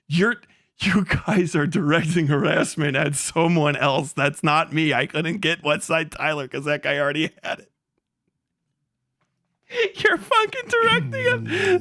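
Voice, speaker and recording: A man doing a casual livestream reaction in an animated, incredulous and profane way, breaking easily into laughter. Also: sits high. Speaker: livestream host. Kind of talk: casual livestream reaction, reading messages aloud with profane commentary.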